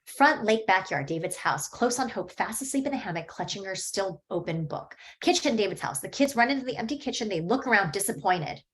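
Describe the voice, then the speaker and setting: Woman, reading stage directions, plain even narration, mid pitch. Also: brisk, few pauses.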